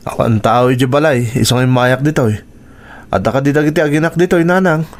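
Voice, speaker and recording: A man's voice: medium pitch, fast talker, natural voice. A man with an Ilocano accent playing a jealous, suspicious, brooding husband in a radio drama, accusing and emphatic. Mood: sullen.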